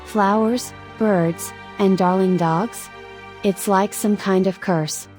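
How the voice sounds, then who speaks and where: A high-pitched, plaintive little storybook cottage girl, acted out by a man. She is stubborn and dramatic, longing to be spooky. Faint music plays behind her. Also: fairly even intonation, slow pace, darkish tone. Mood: dejected. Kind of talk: children's storybook read aloud in character.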